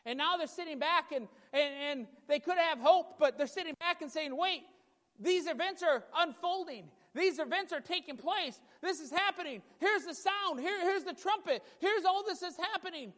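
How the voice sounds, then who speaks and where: A man preaching a sermon with a loud voice, emphatic and repetitive, building in intensity. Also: very high-pitched, normal speed. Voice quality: loud voice at times. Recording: long hall reverb.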